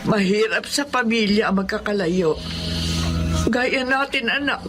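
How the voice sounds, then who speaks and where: A woman's voice: mid-range voice, measured pace, music in the background, close to an everyday voice. An actress playing a remorseful, emotional older mother in a Filipino radio drama, with a tearful delivery. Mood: moved, gentle.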